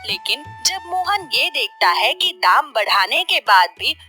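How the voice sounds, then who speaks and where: A woman narrating a scripted children's story in an expressive storytelling manner. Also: high-pitched, a little slow, soft background music.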